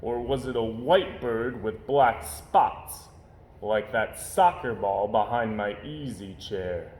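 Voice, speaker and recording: A man's voice: fairly low pitch, slow, darkish tone. A man voicing a puzzled father bear in a storybook, questioning and bemused. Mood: confused. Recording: roomy echo, faint background noise.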